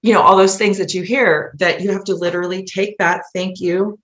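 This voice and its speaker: A woman's voice: fairly low pitch, fairly even intonation, brisk, fairly bright tone. A woman guest on a podcast talking casually and earnestly, in an emphatic, rambling way. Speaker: podcast guest, musical playwright. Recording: roomy, echoey sound.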